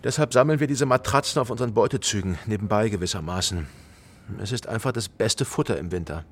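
An actor playing an eccentric, kindly goat herder who chuckles and drawls folksy philosophy in a German radio play. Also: male voice, lowish voice, fast talker, husky. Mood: musing, amused. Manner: drawling, chuckling, ponderous pronouncements.

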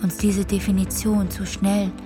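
A woman's voice: mid-range voice, flat delivery, measured pace, music in the background. A woman reciting short scripted statements as part of an audio play collage.

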